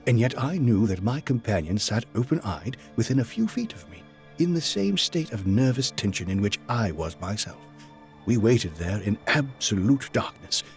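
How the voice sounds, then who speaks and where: An actor playing an earnest, apprehensive, loyal gentleman companion and storyteller in an audio drama, with formal dramatic delivery. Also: mid-range voice, creaky. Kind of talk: audio drama acting and first-person narration.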